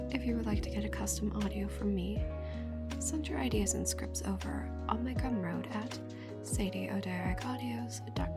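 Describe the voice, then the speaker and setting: A woman hosting an ASMR channel, speaking softly and breathily, often near a whisper, as she addresses her listeners. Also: mid-range voice. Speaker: channel host and voice performer. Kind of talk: soft-spoken ASMR sign-off.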